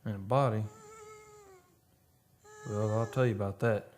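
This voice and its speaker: An actor playing a haunted, obsessed oil driller confessing a horror in a halting, brooding radio monologue. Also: male voice, lowish voice, steady tone, darkish tone, a little hiss or hum, natural voice. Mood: anguished, horrified. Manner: halting, brooding, dramatic storytelling.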